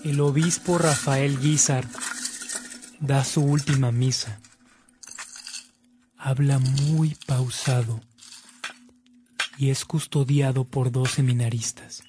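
A man's voice: mid-range voice, steady tone, slow pace, long pauses, noisy background. A man narrating a radio drama in a solemn, scripted style.

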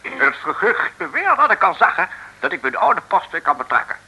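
An actor playing a bombastic, cowardly mayor with a husky voice in an old radio comedy serial. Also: male voice, high-pitched, big pitch swings, moderate pace, sharp tone, full character voice, rough recording. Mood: eager, smug. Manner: bombastic, blustering. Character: pompous, cowardly, opportunistic male mayor.

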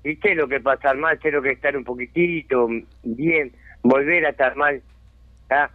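A man's voice: medium pitch, normal speed, no echo. Uruguayan man, community spokesman, insistent and indignant, phone-quality sound. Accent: Uruguayan Spanish. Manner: insistent, indignant, checks understanding often. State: has a chronic lung disease. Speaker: settlement resident and community spokesman. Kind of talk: phone interview on radio. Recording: dry tinny phone line.

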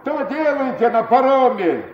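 An actor playing a gruff, strict old river captain and grandfather, curt and moralising, in a Soviet radio play. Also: extremely high pitch, sing-song, a little slow, lo-fi sound, crowd noise, exaggerated voice. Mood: shouting orders. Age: older. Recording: noisy old 1970s mono radio recording.

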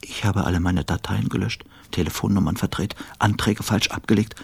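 An actor playing an anxious, self-accusing traveller in a radio drama, speaking an intimate, brooding inner monologue. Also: male voice, low voice, a little fast, creaky, a little mellow, breathy edge, no room sound, heavily acted. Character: anxious, self-accusing male business traveller, inwardly narrating.

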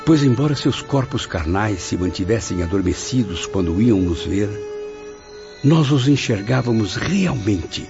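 Deep male voice, Brazilian accent, remorseful spirit, solemn first-person narration, radio drama, dull sound, prominent music. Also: animated, unhurried, creaky. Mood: moved. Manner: solemn, reflective. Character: remorseful, moved male spirit recounting his memoirs. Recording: dull lo-fi audio, music behind.

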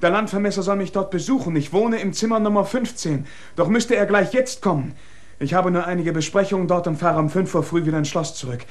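An actor in a German radio drama playing an eager young messenger reporting hurriedly. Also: male voice, high-pitched, a little fast, lightly acted.

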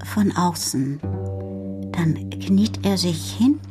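Self-possessed, low-voiced woman. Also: expressive, extremely slow, music in the background. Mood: reflective. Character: self-possessed, adventurous Parisian landlady, observant night wanderer. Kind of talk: German radio crime drama acting.

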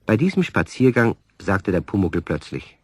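A man narrating a scripted children's story with a husky voice. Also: sits low, very animated, normal speed, a little hiss or hum.

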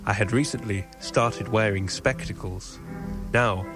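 A man in his thirties with an English accent reads a scripted memoir. His voice sits fairly low, the delivery is measured and literary, and music plays clearly underneath. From northern England. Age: 30s. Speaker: author narrating his autobiographical audio piece. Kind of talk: scripted autobiographical narration.

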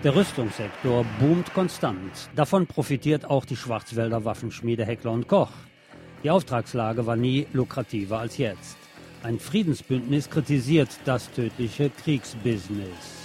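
A man's voice: mid-range voice, lively intonation, unhurried, dark tone, faint music behind. A man hosting a radio programme, reading scripted news commentary in a formal, pointed way. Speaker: radio host.

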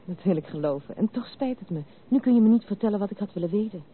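A woman's voice: mid-range voice, expressive, fast, natural voice. An actress playing a spirited but wounded young noblewoman in a Dutch radio drama, speaking candidly and earnestly in literary phrases. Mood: probing, intent. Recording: narrow tinny old radio recording, faint hiss.

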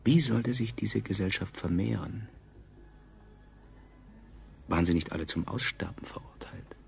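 A man acting as the coolly sardonic chronicler of a doomed science colony, narrating a radio play in a clinical, ironic deadpan. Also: on the low side, unhurried. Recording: 1970s radio production, clear background noise.

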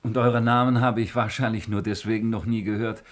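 An actor playing a self-important, uneasy, lonely Roman palace officer in a theatrical 1950s radio play style. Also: male voice, lowish voice, little pitch movement, brisk, close to an everyday voice. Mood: courteous.

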